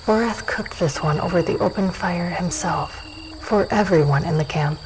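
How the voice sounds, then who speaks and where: Woman as composed, lower-voiced dusk elf warrior. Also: normal speed, noisy background, natural voice. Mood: composed, hospitable. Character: self-assured dusk elf warrior woman, hospitable, probing. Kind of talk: fantasy audio drama dialogue.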